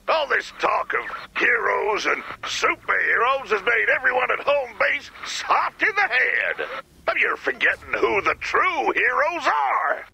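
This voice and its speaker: Game old-timer janitor: high, badly rasping, creaky, folksy and pompous, swooping wildly, radio filter. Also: male voice, pushed and bright, heavily acted, poor audio. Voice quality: raspy, creaky. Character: folksy, grave old-timer male janitor, self-important and earnest. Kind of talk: video game character dialogue.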